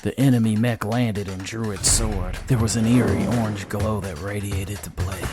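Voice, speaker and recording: A man's voice: fairly low pitch, fairly even intonation, normal speed. A man reading scripted, dramatic science fiction narration as a storyteller, with music under his voice.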